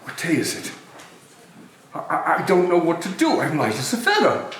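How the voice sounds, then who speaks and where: Man playing old miser, high animated voice, halting pleas and exclamations, theatrical stage reading, roomy echo. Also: a little hiss or hum. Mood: giddy relief. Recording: roomy, echoing, music underneath.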